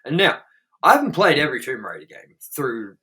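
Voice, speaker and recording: A man's voice: medium pitch, sing-song, fairly quick, sharp tone, slightly rough. Blunt, foul-mouthed young male host. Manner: swears a lot, blunt and frank. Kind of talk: casual review chat.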